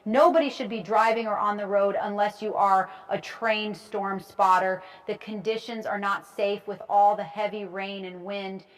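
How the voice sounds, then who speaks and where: A woman's voice: medium pitch, fairly even intonation, moderate pace, few pauses, natural voice, poor audio. A woman playing a TV meteorologist who explains and instructs in live severe weather commentary. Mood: urgent warning. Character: female TV meteorologist giving urgent tornado coverage. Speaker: weather broadcaster.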